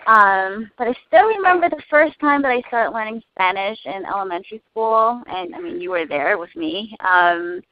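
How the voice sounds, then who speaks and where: A young woman who grew up speaking Cantonese, talking in a casual interview in an earnest, thoughtful way with frequent pauses to find her words. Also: mid-range voice, lively intonation, no room sound. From United States. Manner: earnest, thoughtful, many hesitations. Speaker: interview guest. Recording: dull lo-fi dry recording.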